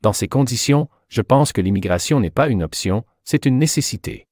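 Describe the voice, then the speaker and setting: A man playing a measured expert journalist who gives articulate, explanatory answers in a scripted interview. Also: mid-range voice, animated, darkish tone, dry recording, clean studio sound, natural voice.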